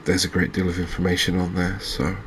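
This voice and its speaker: A man with an English accent giving soft-spoken ASMR game commentary in a hushed, unhurried, hesitant manner. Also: low-pitched, flat delivery, a little hiss or hum. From England. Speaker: gaming video host.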